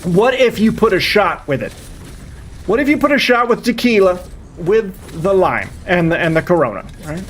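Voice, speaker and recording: A man's voice: sits high, expressive, moderate pace, a bit bright, slight background noise. A man in his thirties chatting casually as a live streamer, rambling and often repeating his phrases. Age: 30s. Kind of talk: casual chat with viewers while gaming.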